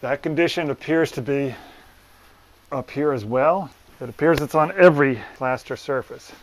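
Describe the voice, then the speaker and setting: A man with a mid-range voice walks through a repair job in a room of a house. He explains each step plainly and practically, pausing deliberately as he goes. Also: medium pitch. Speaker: plaster repair business owner and presenter. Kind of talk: hands-on walkthrough explanation to camera. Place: room inside an old house.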